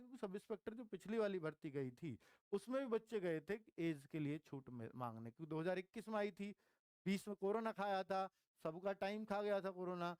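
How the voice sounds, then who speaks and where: High-pitched man, coaching students. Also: no echo. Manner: conversational, repeats key points for emphasis. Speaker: exam coach teaching online. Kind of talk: informal explanatory lecture to students.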